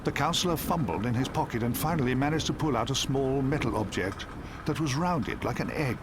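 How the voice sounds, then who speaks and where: A man's voice: medium pitch, brisk. A man reading a scripted fairy tale as a bedtime narrator, in a dramatic storytelling style. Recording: steady rain noise behind the voice. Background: rain.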